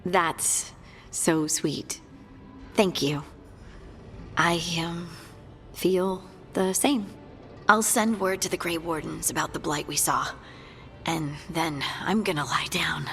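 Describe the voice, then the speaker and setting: A woman's voice: on the low side, takes it slowly, pauses often, fairly bright tone, faint music behind. A woman playing an earnest young dwarf scout in a fantasy video game, sincere and a little hesitant. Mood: tender, hopeful. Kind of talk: scripted video game dialogue.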